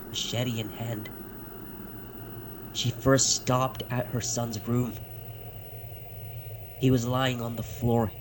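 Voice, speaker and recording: Slow, deliberate male horror narrator. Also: mid-range voice, noisy background. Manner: slow, deliberate, with dramatic pauses and hushed moments. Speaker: narrator of a dark fiction podcast. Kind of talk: dramatic first-person horror story narration.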